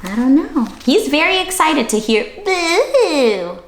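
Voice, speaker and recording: A woman reading a children's storybook aloud in an expressive, dramatic way, addressing young listeners directly. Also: high-pitched, moderate pace, vocal fry. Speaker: children's read-aloud host. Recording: roomy, echoey sound.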